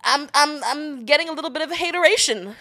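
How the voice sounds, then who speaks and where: A woman's voice: sits high, expressive, a little fast, pushed and bright. A woman from Nigeria co-hosting a podcast in casual chat. She teases, uses a lot of slang and laughs often. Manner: playful, teasing, laughs often, slang-heavy. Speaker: podcast co-host.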